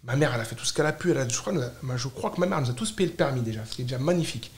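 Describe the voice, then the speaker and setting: A man's voice: medium pitch, expressive, racing, echoey room. A man from France speaking casually as a podcast guest, emphatic, repeating and piling up short phrases. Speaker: podcast guest, startup founder. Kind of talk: casual, animated conversation.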